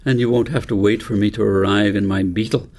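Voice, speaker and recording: A man voicing a wise, patient old grandfather in a storybook, explaining things in a measured, instructive way. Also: lowish voice, fairly even intonation, darkish tone, slight background noise, lightly acted. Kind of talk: storybook reading.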